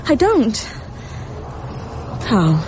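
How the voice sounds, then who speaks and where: A woman's voice: wildly swooping pitch, heavily acted. An actress playing an anxious, claustrophobic, genteel woman who fretfully talks to herself in a radio drama. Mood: nervous. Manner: fretful, halting, talking to herself. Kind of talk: radio drama interior monologue. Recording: noisy train rumble behind the voice.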